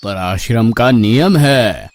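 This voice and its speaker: Brief: strict, patient old guru, unhurried and admonishing, voiced by a man, mid-pitched. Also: lively intonation, darkish tone, dry recording. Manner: slow, admonishing, fatherly. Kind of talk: Hindi cartoon story dubbing.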